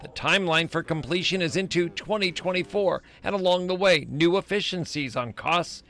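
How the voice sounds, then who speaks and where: A man's voice: high voice, moderate pace, fairly bright tone. An American man of mature age delivering a scripted TV news report as a field reporter, speaking into a handheld microphone. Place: outside a fire station on a street. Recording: outdoor live report with street ambience.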